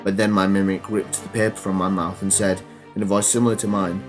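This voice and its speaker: Teenage boy, podcast host, stuffy cold, lowish voice, deadpan, rambling with ums. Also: brisk, over music. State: congested from a cold. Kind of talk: comedy storytelling and casual chat.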